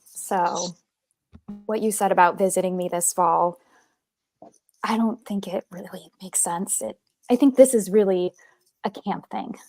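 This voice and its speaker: A woman's voice: lowish voice, measured pace, long pauses, a little mellow. A woman acting a frank, casual young female camp counselor in a horror script table read. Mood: serious, hesitant.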